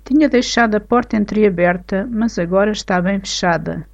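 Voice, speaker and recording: A woman with a European Portuguese accent reading example sentences aloud in a slow, careful, clearly articulated way for a language lesson. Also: medium pitch. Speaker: language teacher. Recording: slightly dull lo-fi recording.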